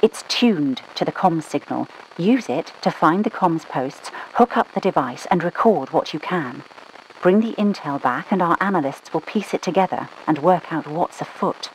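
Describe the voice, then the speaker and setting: A woman voicing a brisk British spymaster who gives mission orders over the radio in a dry, commanding manner, with a British accent. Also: low voice, animated, a little hiss or hum, poor audio. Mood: briefing. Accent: British English. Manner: clipped, dry, commanding, scolding. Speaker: mission controller. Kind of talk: game voice lines.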